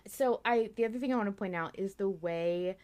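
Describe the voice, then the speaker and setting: A woman's voice: mid-range voice, fairly quick, darkish tone. A woman co-hosting a podcast in casual fan discussion, talking in a giggly, casually sweary way. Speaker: podcast host.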